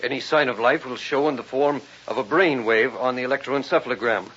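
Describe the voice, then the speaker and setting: A man's voice: medium pitch, fairly quick, fairly bright tone, breathy edge. An actor playing an insistent, businesslike male museum researcher, precise and formal, in an old-time radio drama. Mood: analytical. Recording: muffled vintage radio, faint noise.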